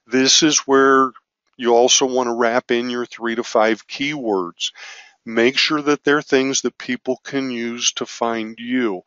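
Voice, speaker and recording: A man with a raspy voice from allergies, teaching an online business course in a loose, self-correcting way with frequent fillers. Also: mid-range voice, fairly bright tone, no room sound, highs cut off. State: raspy from allergies, occasional cough. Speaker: business marketing instructor. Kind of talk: screen-share course walkthrough.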